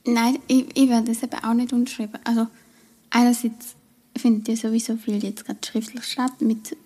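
A young woman with a Swiss German accent, talking casually as a podcast co-host. Her voice is fairly high but flat in melody, mellow and slightly husky. She speaks haltingly, with pauses and fillers. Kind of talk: casual literary podcast chat.

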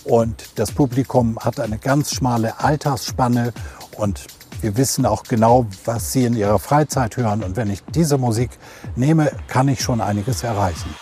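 A man's voice: mid-range voice, normal speed, warm tone, soft background music. A man speaking as an expert, explaining in a conversational style.

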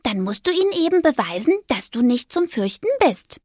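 A chirpy, bossy little mouse from a storybook, as a woman puts her on, with a high voice that leaps all over the place. She urges her friends along in a bright, bouncy tone, recorded close and dry. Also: sing-song, normal speed, no room sound. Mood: eager. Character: small lively female mouse. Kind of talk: storybook character acting.

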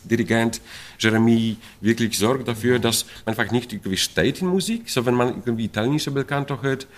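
A man's voice: medium pitch, brisk. A middle-aged man from Poland with a Polish accent, a Polish native speaker, talking in an interview about an opera production, searching for words with many fillers and restarts and laughing often. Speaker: opera stage director.

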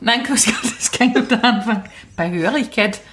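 A woman's voice: a little high, normal speed, over a noisy crowd. A woman with an Austrian accent chatting casually as a comedy podcast co-host, often searching for her words. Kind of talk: casual improvised comedy chat.

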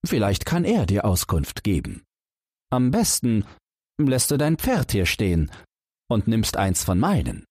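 A man's voice: fairly low pitch, huge pitch swings, a little slow, a little mellow, breathy edge, no echo, clean studio sound, voice pushed up, full character voice. A man voicing a kindly, courtly king of the mice in a raised voice. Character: kindly regal male king of all mice.

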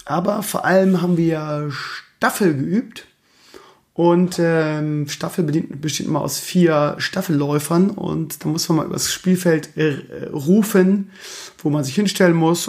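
Hoarse guy rambling on his podcast, kinda gravelly, a bit high, grumbly and self-mocking. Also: darkish tone. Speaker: podcast host. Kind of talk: casual chat.

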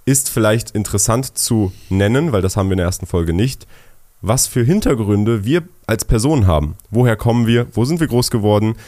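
Young man, very low voice, casual podcast talk, halting phrases with fillers. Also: expressive, warm tone. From Germany. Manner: talks in short restarted phrases with many fillers. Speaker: podcast co-host.

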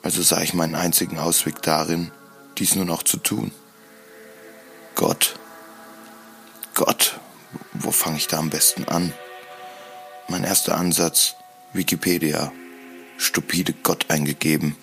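A man reading scripted, dramatic first-person narration in a brooding, solemn and deliberate manner. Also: a bass voice, flat delivery, gravelly, fairly bright tone, soft background music.

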